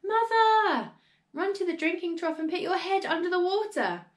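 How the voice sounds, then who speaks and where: An anxious mother from a children's story, acted by a woman narrator. Her voice sits very high and swoops widely. She wails, frets and scolds urgently. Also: big pitch swings, normal speed. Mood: frantic. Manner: wailing, shrieking, urgent.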